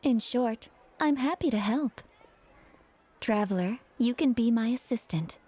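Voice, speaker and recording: Self-assured, sly perfume seller for a game: coy, teasing, warm rounded tone. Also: female voice, on the high side, animated. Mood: flirtatious. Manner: coy, teasing, drawn-out phrasing. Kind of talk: video game character dialogue.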